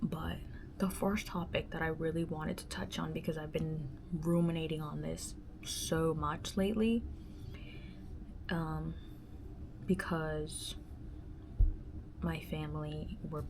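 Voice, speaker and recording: A woman talks slowly and thoughtfully in her own solo podcast, pausing often and filling gaps with soft fillers. Her voice sits a little low, and she often sinks into a near-whisper. A faint hum of room ambience lies underneath. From born in the Dominican Republic, raised in the United States. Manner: musing and halting, many fillers, often drops to a soft half-whisper; gestures with her hands while talking. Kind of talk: reflective personal monologue.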